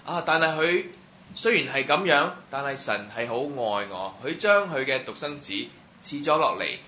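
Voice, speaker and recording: A man's voice: mid-range voice, animated, pushed and bright. Guy translating a church testimony line by line, hesitant, lots of fillers, echoey and muffled. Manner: halting, many filler sounds. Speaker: church interpreter. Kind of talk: live sentence-by-sentence translation. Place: church hall. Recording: roomy, muffled hall sound with faint noise.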